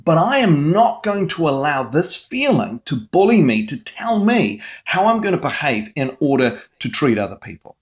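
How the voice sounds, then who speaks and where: A man's voice: on the high side, big pitch swings, normal speed, hardly any pauses, lo-fi sound. A man speaking as a mental health guest in an interview, explaining with an earnest coaching manner and frequent restarts.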